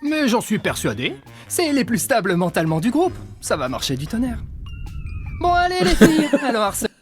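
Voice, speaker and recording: Casting: smug, sarcastic animated troublemaker, very lively pitch, music behind. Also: male voice, brisk, full character voice. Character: mischievous, cynical male cartoon prankster who enjoys others' misfortune. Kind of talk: French-dubbed animated series acting.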